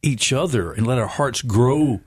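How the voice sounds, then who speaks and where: A man's voice: medium pitch, measured pace, a little mellow, husky. A male host guiding an interview in an easy, conversational way. His voice sits mid-range, and his questions run long and wander before they land. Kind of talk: radio interview hosting. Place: studio room.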